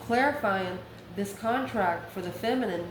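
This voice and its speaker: A woman's voice: medium pitch, normal speed. Woman reading tarot in an echoey room. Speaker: tarot reader, online channel host. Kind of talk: casual, improvised tarot reading. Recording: roomy echo with faint background noise.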